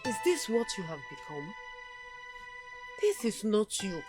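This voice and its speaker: A woman's voice: mid-range voice, big pitch swings, unhurried, music in the background. An actress playing a middle-aged mother, coaxing and scolding with strong emotion. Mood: reproachful. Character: caring but stern Nigerian mother, middle-aged.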